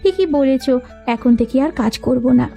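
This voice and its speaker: Woman acting a high, soft-toned determined cartoon girl. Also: expressive, unhurried, gravelly, heavily acted. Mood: feigned innocence. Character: bold, determined young village girl and her sisters. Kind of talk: cartoon story dubbing. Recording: music behind the voice. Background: background music.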